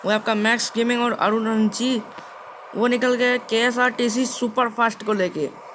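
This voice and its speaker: Young male gaming host, extremely high pitch, halting and repetitive casual commentary, slightly noisy recording. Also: fairly even intonation, fairly bright tone. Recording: clearly noisy game capture.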